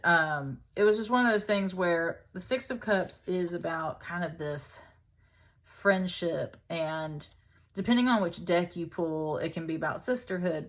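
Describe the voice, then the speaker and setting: A woman's voice: on the low side, a bit bright. A woman giving a casual, conversational tarot reading in an informal, chatty way, as if thinking aloud. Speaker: tarot reader, host.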